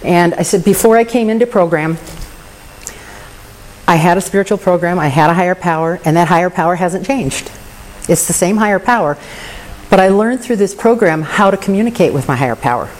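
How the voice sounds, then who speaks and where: A woman's voice: fairly low pitch, fairly quick, a little hiss or hum, low-quality recording. A woman speaking candidly and earnestly about her recovery, with touches of self-deprecating humor. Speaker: recovery meeting member. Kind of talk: personal recovery share.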